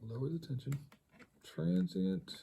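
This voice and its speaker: A man giving a casual hands-on tutorial, thinking aloud in a rambling, halting way and muttering to himself. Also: medium pitch, sing-song, gravelly, warm tone, slight background noise. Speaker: hobbyist demonstrating tape editing.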